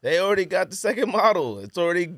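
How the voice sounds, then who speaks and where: An American man co-hosting a podcast in casual banter, joking and arguing in an animated way. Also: high-pitched, fast talker, dry recording, clean studio sound. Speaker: sneaker podcast co-host.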